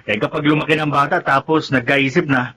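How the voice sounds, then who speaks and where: A man's voice: fairly high pitch, fairly even intonation, a little fast, pushed and bright, a little hiss or hum. A man playing a teasing, worldly friend, bantering emphatically in a Tagalog radio drama. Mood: teasing, knowing. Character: teasing, worldly male friend of a new father.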